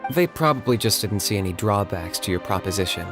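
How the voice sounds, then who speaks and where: A man's voice: fairly low pitch, animated, a little fast, over music, lightly acted. A man playing a reserved, matter-of-fact young warrior in a video game, with a dry delivery.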